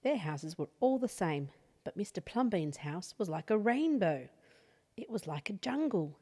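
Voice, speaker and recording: A woman reading a picture book aloud as an expressive children's storyteller. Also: medium pitch, measured pace, husky. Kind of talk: expressive read-aloud bedtime story narration.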